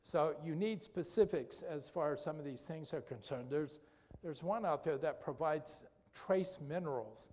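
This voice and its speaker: A man's voice: on the high side, a little slow, a little mellow. Man lecturing in a reverberant hall. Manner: conversational, asks the audience questions, tag "okay?". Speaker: doctor giving a health talk. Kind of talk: health lecture with audience questions. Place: church hall.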